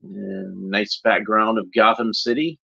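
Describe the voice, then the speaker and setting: Male watchalong host: bright, forward voice, mid pitch, loose rambling commentary, slightly muffled home recording. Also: level tone, a little slow, vocal fry, slightly rough. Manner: rambling, unscripted, with short observational remarks. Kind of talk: casual off-the-cuff watchalong commentary.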